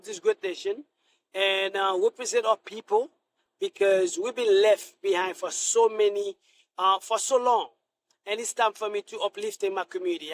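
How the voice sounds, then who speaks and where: A middle-aged man from Haiti, a Senate candidate answering interview questions in a halting way, full of restarts and fillers. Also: sits high, a bit bright, dry recording, low-quality recording.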